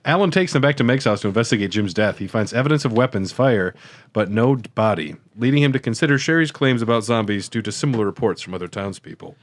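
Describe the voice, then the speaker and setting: A man's voice: mid-range voice, expressive, fast. A man co-hosting a podcast, casually reading a story summary and adding wry jokes. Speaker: podcast co-host. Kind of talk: casual recap read from notes with joking banter.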